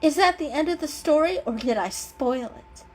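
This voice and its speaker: Sarcastic, irritable female storybook cat, acted by a woman, high and needling. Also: animated, normal speed, faint music behind. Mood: scornful. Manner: sarcastic, prodding. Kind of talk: dramatic children's story reading.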